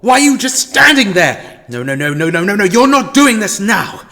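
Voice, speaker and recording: A man's voice: high-pitched, very animated, normal speed, bright, forceful tone, full character voice. A man playing a grandiose, monologuing villain. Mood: frantic. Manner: grandiose, monologuing, mock-apologetic. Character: scheming, theatrical male villain who toys with a captive human. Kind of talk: fan audio drama acting.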